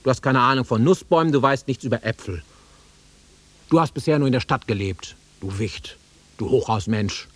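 An actor playing a volatile, nostalgic country-bred man in a German radio drama, brooding and taunting, with a rough husky voice that swings from muttering to outbursts. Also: medium pitch, moderate pace, natural voice. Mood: scornful.

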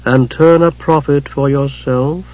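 Man putting on a cold, dark goddess queen. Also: mid-range voice, steady tone, a little slow, highs cut off, natural voice. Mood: cold menace. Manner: cold, bitter, accusing.

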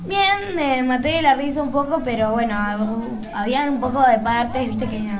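Argentine woman, high voice, hesitant, casual radio chat, crowd noise in the background. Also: moderate pace. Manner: hesitant, searching for words. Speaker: radio show co-host.

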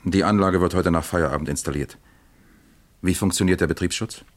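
A man's voice: low-pitched, a little fast, natural voice. A man playing a dry, commanding police captain in a German crime radio play, terse and businesslike. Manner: terse, businesslike, gives orders.

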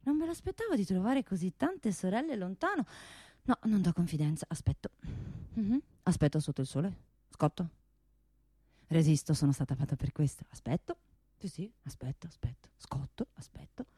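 A woman's voice: lowish voice, very animated, normal speed, dark tone, husky, dry recording, clean studio sound. A woman playing a proud, world-weary talking pistol in a radio theatre monologue, bossy and sardonic in a dramatic storytelling way. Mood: stoic waiting.

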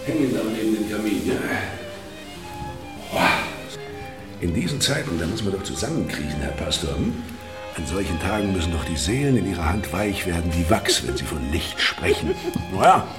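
Self-assured visiting baron, radio play: low, lively delivery, theatrical musing, used to commanding. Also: male voice, normal speed, roomy sound, over music. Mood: expansive. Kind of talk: German radio drama acting.

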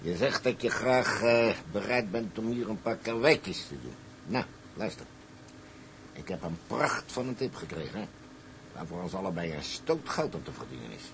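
Low-voiced, wily old skipper. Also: male voice, very animated, moderate pace, a little hiss or hum. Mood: scheming, insistent. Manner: salty, colloquial, anecdotal. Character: wily, boastful old colonial tugboat skipper, a persuasive schemer. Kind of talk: dramatised dialogue, storytelling in character.

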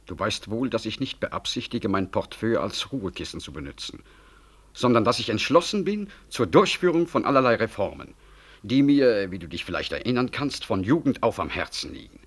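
A genial, self-important minister in a radio play. The husky, fairly low voice swells with emphatic, oratorical intonation, deliberate and full of grand purpose. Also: male voice, very animated. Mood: grandiose, earnest. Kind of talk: 1960s German radio drama acting.

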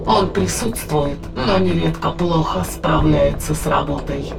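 A performer in an audio play voicing a sinister ancient demonic spirit that speaks in solemn, declamatory, archaic phrases with a gravelly, creaky voice. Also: mid-range voice, unhurried. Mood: solemn, menacing. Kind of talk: dramatized audio play, formal archaic monologue. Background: dramatic background music.

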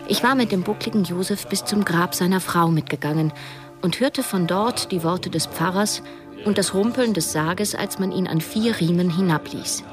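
An actress as a wistful woman storyteller in a radio drama. Her voice sits a little low, measured and reflective, with music clearly heard behind it. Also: natural voice. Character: reflective woman narrator recalling events, wistful. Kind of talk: literary radio drama narration.

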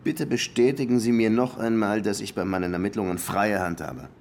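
An actor playing a dogged, brooding Cuban police lieutenant in a radio crime drama, insistent in questioning and reflective in narration. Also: male voice, on the low side, a little fast, a little mellow, a little hiss or hum, natural voice. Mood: firm, respectful.

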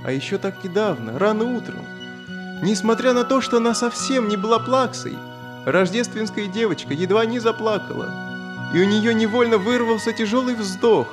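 A man narrating a children's audio story in an expressive, scripted storytelling style. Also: high-pitched, normal speed, over music.

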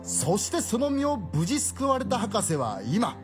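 Dramatic male storyteller with swooping pitch. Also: very animated, unhurried, over music. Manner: dramatic, old-fashioned storyteller phrasing. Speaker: narrator. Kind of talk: theatrical storyteller narration of a radio drama.

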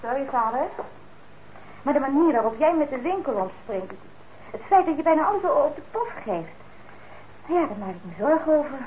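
An actress playing a fretful, bossy but caring middle-aged daughter in a radio play, nagging briskly. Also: female voice, high-pitched, very animated, a little mellow. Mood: fussing. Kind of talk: Dutch radio play acting. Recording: dull, noisy old radio recording.

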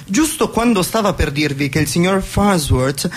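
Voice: medium pitch, animated, close to an everyday voice. A performer playing a dutiful male butler in a 1920s radio comedy, formal and deferential in manner. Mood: explanatory. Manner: formal, deferential, measured announcements. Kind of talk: radio play acting of a 1920s stage comedy.

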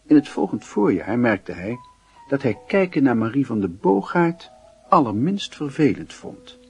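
An actor as a reflective narrator, reading literary narration for a Dutch radio play in a husky voice. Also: male voice, mid-range voice, big pitch swings, unhurried, a little mellow, faint music behind, heavily acted.

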